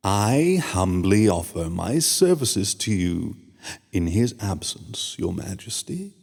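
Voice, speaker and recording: A sly pony upstart who flatters and schemes in a smooth, lowish voice, as a man acts him out. He speaks with a long hall reverb, like a radio play. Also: slow, exaggerated voice. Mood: scheming. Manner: smooth, fawning and sly, with soft chuckles. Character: scheming, oily male pony upstart courtier. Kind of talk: fan-made radio drama.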